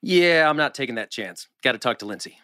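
A man's voice: medium pitch, lively intonation, brisk, pushed and bright, no echo, studio quality. A man playing a terse, guarded, brooding teenage boy in a TV drama table read. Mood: guarded, reassuring.

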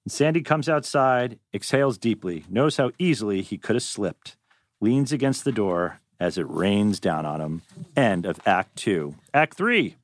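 A man's voice: fairly low pitch, big pitch swings, measured pace, no room sound, studio quality. A man reading stage directions as the narrator of a scripted table read.

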